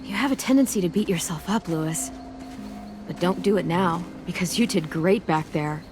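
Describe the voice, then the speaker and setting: A woman playing a caring, steady teenage girl survivor in a video game, speaking with an American accent in a reassuring, dryly teasing way. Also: on the low side, moderate pace, close to an everyday voice. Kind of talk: video game voice acting, casual dialogue. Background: background music.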